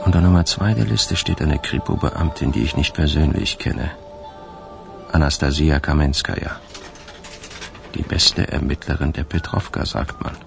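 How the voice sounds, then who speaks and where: A man acting in a radio drama as a wistful fugitive who confides in his diary in a gravelly, measured voice. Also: over music, heavily acted. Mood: guarded, calculating. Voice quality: deep, gravelly, creaky. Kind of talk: radio drama first-person narration.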